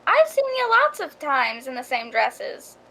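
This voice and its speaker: A woman's voice: high-pitched, wildly swooping pitch, unhurried, a bit bright, full character voice, rough recording. A woman playing an eager, insistent young boy hunting for autographs in a radio drama.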